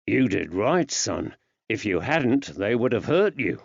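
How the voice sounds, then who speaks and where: A kindly man, voiced by a male narrator, asks questions gently and patiently in an audiobook story. His delivery is unhurried and coaxing, with old-fashioned working-class turns of phrase, recorded dry. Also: on the low side, very animated, creaky, husky, dry recording, lo-fi sound, exaggerated voice. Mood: softly probing.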